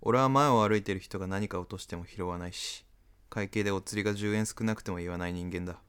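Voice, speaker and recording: A man playing an apathetic, antisocial smoker who narrates a radio drama in a deadpan manner. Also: fairly low pitch, quick pace, clean tone, close to an everyday voice. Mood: deadpan, weary. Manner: deadpan inner monologue. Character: apathetic, antisocial male smoker narrating his reluctant encounters.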